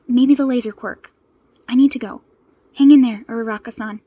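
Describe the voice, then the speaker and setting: A woman voicing an earnest, anxious, quick-thinking boy who works as a secretary and strategist in a fan audio story. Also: on the high side, fairly even intonation, dark tone, husky, dull audio. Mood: focused, urgent. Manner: hesitant stammer turning to brisk instructions.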